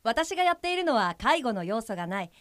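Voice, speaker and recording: Woman playing earnest helper, slightly high voice. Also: sing-song, fast, a bit bright, dry recording, studio quality. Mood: matter-of-fact. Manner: polite, explanatory, businesslike. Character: earnest, matter-of-fact female guide helper for blind people. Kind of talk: audio drama dialogue.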